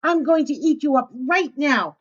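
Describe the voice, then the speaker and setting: A woman's voice: lively intonation, normal speed. A woman voicing a scheming male wolf who talks with false politeness, in a slightly dull recording. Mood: menacing. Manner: overly polite, high and theatrical. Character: sly smooth-talking male wolf, scheming.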